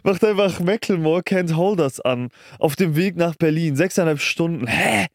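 A man's voice: on the high side, moderate pace, gravelly, no room sound, studio quality. A young man chatting casually as a podcast co-host, in colloquial, slangy youth speech. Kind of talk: casual chat.

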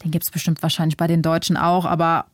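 A young German-born woman author whose first language is Vietnamese, speaking reflectively in a relaxed interview and thinking aloud with small restarts. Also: low-pitched, fast, no echo, studio quality. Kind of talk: casual interview talk.

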